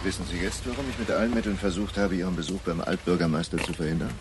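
A man's voice: low-pitched, fairly even intonation, fast talker, natural voice. An actor playing a self-important, needling male journalist, sardonic and smug, in a German radio crime drama. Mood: wry, confiding. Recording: clear background noise.

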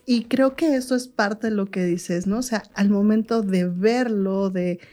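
A Mexican woman hosts a radio interview show. Her voice is mellow and medium in pitch, and her delivery is conversational. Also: measured pace. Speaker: radio talk show host.

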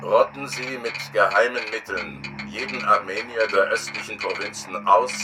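An actor playing a cold, stern official reading out a telegram in clipped style in a radio drama. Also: male voice, lowish voice, moderate pace, noisy background, natural voice. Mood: cold, commanding. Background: loud music.

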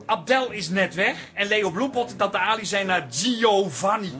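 A man's voice: high voice, animated, moderate pace, pushed and bright, faint music behind. An actor playing a cheeky, tactless young man in a radio drama, blunt and rambling. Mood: blunt reassuring.

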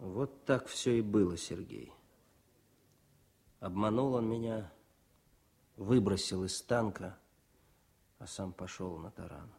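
An actor playing a grieving young soldier-poet in a Soviet radio drama, solemn and declamatory, intense and set on revenge. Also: male voice, lowish voice, slow pace, gravelly, long pauses, a little mellow. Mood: grieving, bitter.

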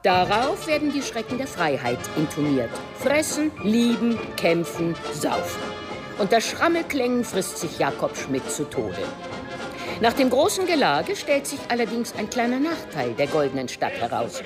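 Woman, scripted radio narration, dramatic emphatic phrasing, lively wide-ranging pitch, music clearly behind. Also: moderate pace. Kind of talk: scripted narration of an opera synopsis.

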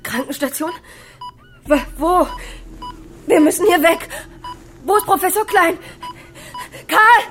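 An actress playing a shaken but assertive doctor in a radio drama, speaking in an agitated, urgent way. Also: female voice, very high-pitched, takes it slowly, pauses often, fairly bright tone, a little hiss or hum, heavily acted. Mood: panicked. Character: Claudia, shaken, assertive female doctor who survived a crash. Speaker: hospital doctor. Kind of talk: German radio drama acting.

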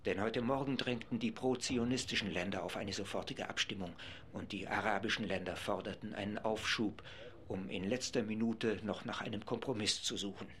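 A man's voice: on the low side, fairly even intonation, a bit bright, lightly acted. An actor playing a male radio reporter giving live commentary from a packed assembly hall in a radio play. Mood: measured. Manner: brisk, informative, narrating events.

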